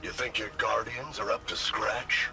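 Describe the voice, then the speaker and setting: A man's voice: fairly low pitch, little pitch movement, a little slow, vocal fry, over music, poor audio. An actor playing a cocky, sarcastic villain's henchman spirit in a video game, sneering and taunting. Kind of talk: scripted video game voice acting.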